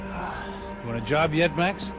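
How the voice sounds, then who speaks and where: An actor playing an easygoing, loyal private security partner with a casual, friendly, slangy way of talking. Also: male voice, a little high, slow pace. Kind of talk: video game cutscene dialogue. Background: game score music.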